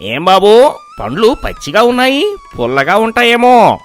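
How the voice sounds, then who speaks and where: A man's voice: high voice, wildly swooping pitch, moderate pace, faint music behind. A man voicing a stingy, scheming old grandfather in a moral story, bossy and dismissive as he haggles. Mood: haggling. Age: older. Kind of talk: animated moral-story voice acting.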